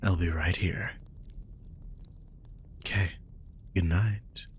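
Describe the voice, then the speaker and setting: A man playing a guilt-ridden, tender supernatural protector in an intimate audio drama, speaking gently and hesitantly with a husky, breathy voice that slips toward whispers. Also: low voice, fairly even intonation, extremely slow, heavily acted. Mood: tender concern. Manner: gentle, hesitant, sighing delivery.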